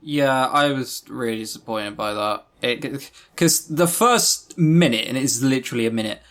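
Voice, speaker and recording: A man's voice: mid-range voice, moderate pace, fairly bright tone. A man co-hosting a podcast, giving blunt, opinionated, sweary reviews in a casual chatty way. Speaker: podcast co-host.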